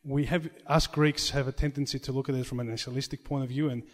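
A man's voice: medium pitch, steady tone, fast talker. A man who chants in church, speaking in a question-and-answer discussion, earnest and conciliatory, often restarting his sentences. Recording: long reverb of a hall.

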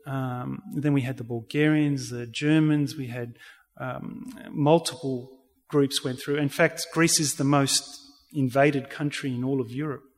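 A man with an Australian accent giving a public talk and answering questions in a halting, earnest, explanatory way. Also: mid-range voice, steady tone. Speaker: religious speaker giving a community talk. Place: reverberant indoor hall. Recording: echoey hall with long reverb.

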